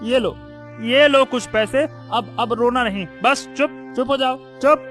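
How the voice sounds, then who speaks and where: A man's voice: very high-pitched, measured pace, music in the background. A man playing a greedy, cowardly minister in a cartoon story, flustered and repeating his words nervously. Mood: panicked, hushing.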